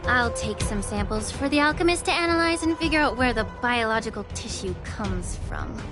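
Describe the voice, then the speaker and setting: A woman voicing a polite, thoughtful young female investigator in video game dialogue, with a gentle manner. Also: a little high, animated, normal speed, natural voice. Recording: music behind the voice.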